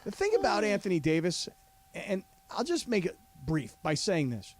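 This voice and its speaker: A man co-hosting a sports talk radio show, animated and prone to interrupting and repeating himself in studio banter. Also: high-pitched, moderate pace. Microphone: studio mic.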